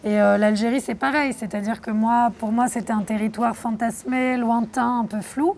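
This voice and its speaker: A young woman from France answering interview questions about her documentary, reflective and thoughtful, pausing often. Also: fairly high pitch, steady tone, creaky, a little mellow, a little hiss or hum. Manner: reflective, thoughtful, with frequent hesitations. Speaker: documentary filmmaker being interviewed.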